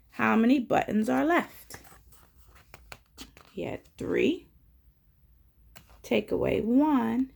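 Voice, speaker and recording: A woman reading a children's story aloud as a teacher, expressively, pausing to put simple coaxing questions to young listeners. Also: fairly high pitch, creaky.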